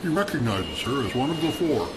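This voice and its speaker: A man narrating a radio drama in a steady, measured tone. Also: medium pitch, heavy background noise. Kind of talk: scripted narration.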